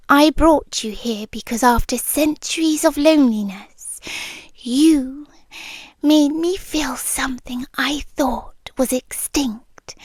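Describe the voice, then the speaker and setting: Slow, whispery British monster girlfriend, acted by a woman. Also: sits high, a little mellow, no echo. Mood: ominous, tender. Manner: breathy half-whispered, intimate, slow and deliberate. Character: possessive centuries-old female spider creature, doting yet menacing girlfriend. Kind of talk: ASMR roleplay. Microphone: close dry microphone.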